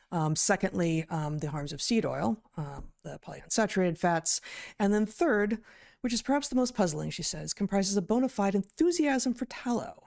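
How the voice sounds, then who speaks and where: Low-voiced woman in a dry, close recording. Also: creaky, a little mellow, dry recording. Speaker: podcast co-host, biologist. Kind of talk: conversational commentary on an article.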